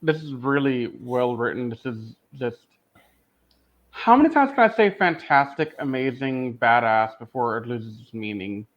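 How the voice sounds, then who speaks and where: A man's voice: medium pitch, expressive, normal speed. A man speaking emphatically and enthusiastically as a panelist in a casual podcast review discussion. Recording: dull, lo-fi microphone audio.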